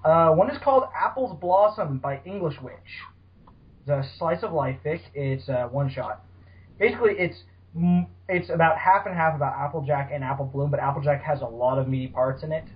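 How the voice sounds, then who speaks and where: A man's voice: a little high, brisk. A man hosting a casual fan fiction chat podcast, with a teasing, playfully profane manner. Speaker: podcast host. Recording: dull, lo-fi audio.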